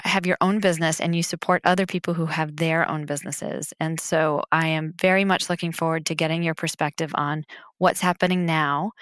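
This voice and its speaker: A woman hosts an interview and opens with eager, grateful questions. Her voice is low. The sound is close and dry. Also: a bit bright, dry recording. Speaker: interview host. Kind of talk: hosting an interview.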